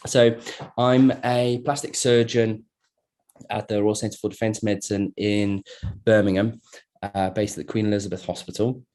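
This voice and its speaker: A middle-aged man from South Africa, a plastic surgeon giving a relaxed online lecture over a video call, with many fillers and restarts. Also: lowish voice. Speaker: plastic surgeon presenting a webinar. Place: at work, speaking into a computer.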